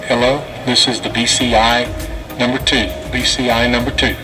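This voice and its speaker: A man's voice: mid-range voice, level tone, moderate pace. A man doing a plain audio test, his voice coming through an intercom speaker. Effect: heard through intercom speaker. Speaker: intercom tester. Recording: distant intercom speaker, music behind.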